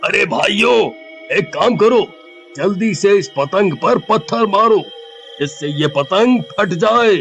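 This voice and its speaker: Very high-pitched panicky village man acted out. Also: animated, takes it slowly, gravelly, fairly bright tone, full character voice. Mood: urgent. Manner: whiny, pleading, exclamatory. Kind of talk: comic horror cartoon story acting. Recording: dull, lo-fi with music. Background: music.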